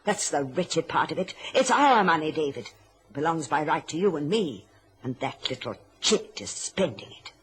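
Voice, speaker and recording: A woman's voice: low voice, sing-song, normal speed, slight background noise. A middle-aged woman playing a covetous, domineering mother who slips between imperious orders and silky endearments in a radio drama. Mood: sharp, resentful. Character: domineering, scheming, covetous mother with a silky-sweet false warmth.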